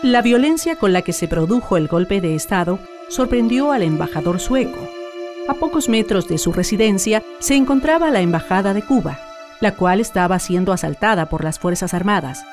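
A woman's voice: mid-range voice, expressive, moderate pace. A woman reading scripted documentary narration as a radio narrator. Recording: music bed under the narration.

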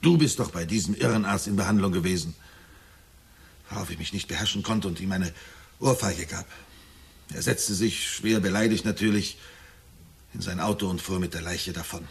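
An actor playing a gloomy middle-aged husband in a German radio drama, speaking in a measured storytelling way with dry irony. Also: male voice, low-pitched, gravelly. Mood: agitated. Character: gloomy middle-aged husband recounting a nightmare, wryly forbearing.

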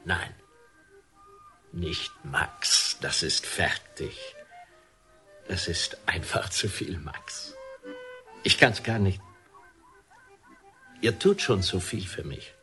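An actor playing a modest, deeply moved man in his sixties in a radio drama, speaking humbly and haltingly. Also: wildly swooping pitch, sharp tone, soft background music, full character voice. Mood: moved, protesting. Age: 60s. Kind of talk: German radio drama acting.

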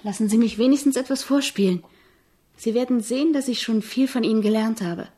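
An actress playing an eager, admiring composition student in a radio drama, speaking in an earnest, searching, deferential way with a husky voice. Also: female voice, fairly high pitch, fairly quick, dark tone. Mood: eager, persistent. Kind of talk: German radio drama acting.

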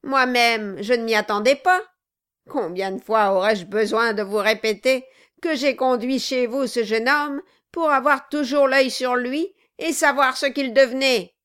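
A woman voicing a malicious male dwarf in an audiobook, loud, commanding and mocking. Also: a little high, a little slow, clear, resonant tone, pitched above the performer's own voice. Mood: irritated sneering. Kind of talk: dramatized audiobook reading.

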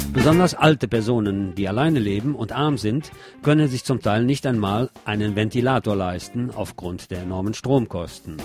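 A man with a fairly low voice presents the news on radio. He reads scripted reports as narration, with faint guitar music playing behind him. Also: darkish tone.

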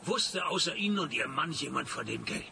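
Wry, creaky-voiced private detective. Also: male voice, a little high, lively intonation, a little fast, background noise. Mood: matter-of-fact questioning. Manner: dry, laconic, sardonic. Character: wry, sarcastic male private detective, narrating his own case. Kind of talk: deadpan noir radio play narration and dialogue.

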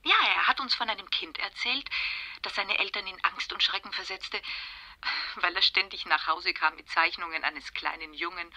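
An eager, gossipy friend in a radio crime play. Her voice is lowish and very bright, with a breathy edge, in a dry close sound. She talks on insistently. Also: moderate pace, no echo, exaggerated voice, rough recording. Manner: talkative, insistent, animated storytelling. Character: chatty, eager woman friend passing on expert gossip. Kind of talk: radio crime drama acting.

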